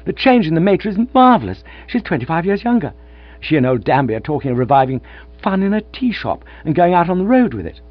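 A man voicing an excitable young man who gushes breathlessly, in a storybook reading. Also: on the high side, quick pace, pitched above the performer's own voice. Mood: giddy. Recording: dull lo-fi with mains hum.

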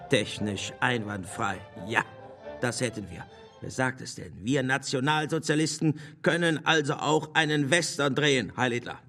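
A cold, officious Nazi minister speaks at medium pitch. His delivery is clipped, imperious and threatening, as in a radio play, over faint music. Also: normal speed, a bit bright. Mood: smug. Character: cold, menacing male Nazi government minister. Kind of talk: German radio drama acting.

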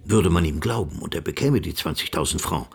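A man's voice: deep voice, animated, a little fast, vocal fry, husky. A man narrating a literary radio play from a script.

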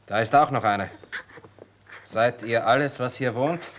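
Menacing officer played by a man, mid-pitched, clipped and commanding; radio play with slight noise. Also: unhurried, lightly acted. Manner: curt, commanding. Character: threatening, curt army officer leading a raid. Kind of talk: radio drama acting.

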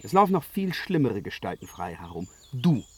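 An actor playing a bold young dragon-slayer in a fantasy radio play, blunt and naive in manner. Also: male voice, medium pitch, very animated, normal speed, a little mellow, slight background noise, close to an everyday voice. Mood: blunt suspicion.

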